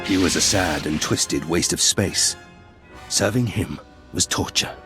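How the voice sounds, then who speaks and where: An actor playing a stern, disdainful Sith enforcer in a video game, with a raspy voice and cold, formal delivery. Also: male voice, deep voice, unhurried, music in the background. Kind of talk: cinematic game voice acting.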